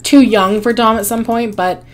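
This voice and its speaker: A woman hosting a life-sim game video, her voice hoarse and fading, chatting casually with frequent ums and small laughs. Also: fairly high pitch, steady tone, moderate pace. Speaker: gaming channel host. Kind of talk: casual gameplay commentary.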